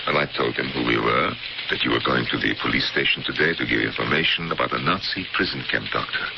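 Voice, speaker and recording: An actor playing an older history professor who speaks with gravelly earnestness in a radio drama. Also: male voice, very deep voice, fast. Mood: earnest. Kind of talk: 1970s radio drama acting. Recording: dull old radio broadcast with hiss.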